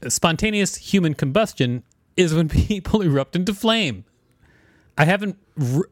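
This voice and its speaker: A man's voice: a little high, dry recording. A man co-hosting a podcast, mixing casual chat with reading an article aloud. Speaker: podcast co-host.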